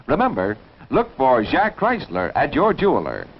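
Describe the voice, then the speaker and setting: A man delivering a polished, emphatic 1950s advertising pitch as a commercial announcer. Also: wildly swooping pitch, normal speed, slightly rough. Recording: dull, faintly noisy vintage soundtrack.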